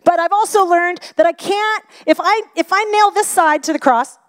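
High-voiced woman preaching, hushed to emphatic. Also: normal speed. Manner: expressive, often drops to a whisper. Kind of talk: sermon.

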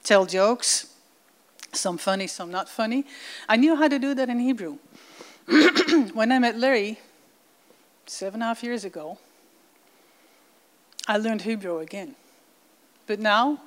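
A woman from the Netherlands, giving an earnest, enthusiastic presentation with slides to a congregation. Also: on the high side. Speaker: guest presenter.

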